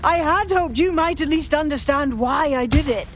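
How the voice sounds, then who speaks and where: An actress playing a coolly zealous terrorist villain in a video game, measured, smug and taunting. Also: female voice, high voice, a little hiss or hum, lightly acted. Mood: calm conviction. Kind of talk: video game cutscene acting.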